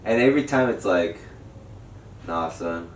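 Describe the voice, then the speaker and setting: A man's voice: low voice, expressive, unhurried. Rambling jokey man in an echoey room. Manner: rambling, jokey, laughs easily. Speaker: podcast co-host. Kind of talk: casual chat. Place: home studio room. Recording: echoey room, mic far off, background noise.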